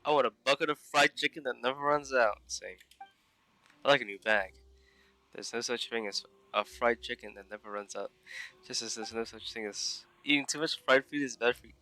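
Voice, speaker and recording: Casting: male game streamer, bright mid-pitched voice, casual commentary and read-aloud, faint music underneath. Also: fairly quick.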